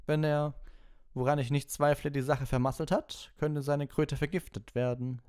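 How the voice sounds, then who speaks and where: A man puts on a strict, spiteful schoolmaster for an audiobook. The voice is lifted well above a natural low register and pushed louder, giving deliberate, commanding orders with a cold sneer. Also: fairly even intonation, smooth, lightly acted. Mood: stern, sneering. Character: stern, spiteful male potions teacher, coldly sneering.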